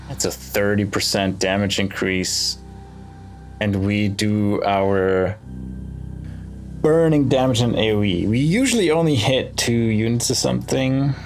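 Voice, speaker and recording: A man giving casual gameplay commentary, thinking aloud in short, musing phrases with pauses between them. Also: fairly low pitch, a little hiss or hum. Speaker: let's play host. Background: game music.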